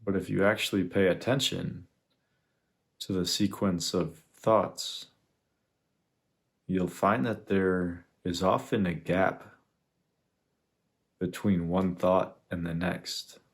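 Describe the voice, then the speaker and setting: A man leading a guided meditation, speaking in an unhurried, reflective way with long pauses between phrases. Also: low-pitched, fairly even intonation. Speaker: meditation guide, spiritual teacher. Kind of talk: guided meditation, contemplative teaching.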